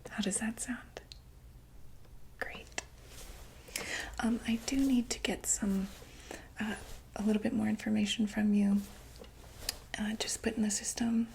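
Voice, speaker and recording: A woman playing a calm doctor for monsters, asking gentle, patient questions in a soft unvoiced whisper for an ASMR roleplay. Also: mid-range voice, unhurried, creaky, long pauses, slight background noise, rough recording.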